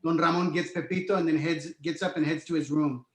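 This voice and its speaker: A man's voice: fairly high pitch, fairly even intonation, brisk. A man reading scripted screenplay stage directions as narrator, in an echoey room. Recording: roomy, echoey sound.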